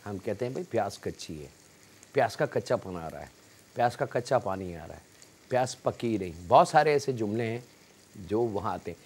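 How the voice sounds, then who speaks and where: A man's voice: lowish voice, lively intonation, moderate pace, darkish tone, a little hiss or hum. Pakistani man explaining a recipe step by step. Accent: Pakistani Urdu. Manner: addresses viewers politely as janab, explains step by step. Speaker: cooking show host. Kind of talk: cooking demonstration with explanation.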